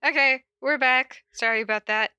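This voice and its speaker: A woman's voice: high voice, normal speed, pushed and bright, lo-fi sound, slight background noise. A woman hosting a podcast, casually reading stories aloud and chatting in an informal, giggly, self-interrupting way. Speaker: podcast host reading fan fiction aloud. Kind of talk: casual cold reading of stories and chat with co-host.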